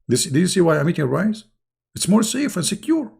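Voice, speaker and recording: Hoarse, gravelly man mocking his opponents. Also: high voice, very animated, fairly quick. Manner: mocking, scornful, with sudden loud rises. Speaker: YouTube channel host. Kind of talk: casual polemical monologue to viewers.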